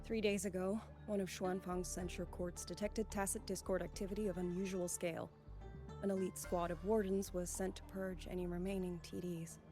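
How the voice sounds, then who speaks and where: An actress playing an earnest young woman soldier in a video game, speaking gravely and formally. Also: fairly low pitch, level tone, close to an everyday voice. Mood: grave, urgent. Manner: formal, grave, measured delivery. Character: earnest young woman soldier, last survivor of her squad. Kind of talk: dramatic video game voice acting. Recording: game music behind the voice.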